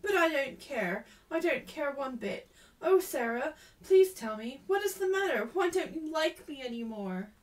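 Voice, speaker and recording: Storybook schoolgirl played by a woman: shy, awkward, plaintive, high voice, stammering earnestness. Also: animated, unhurried, far from the mic. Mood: pleading. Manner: stammering, emphatic, pleading. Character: Ermengarde, awkward shy young schoolgirl, affectionate, tearful, plaintive.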